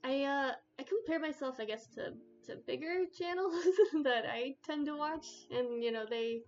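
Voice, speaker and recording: A soft-spoken young woman with a quiet voice, chatting hesitantly and giggling often on a casual live stream. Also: high-pitched, moderate pace, lo-fi sound. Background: soft background music.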